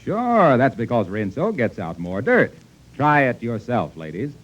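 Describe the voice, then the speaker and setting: Animated American 1940s radio announcer man. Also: medium pitch, a little slow. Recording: muffled 1940s radio recording, faint noise, clicks.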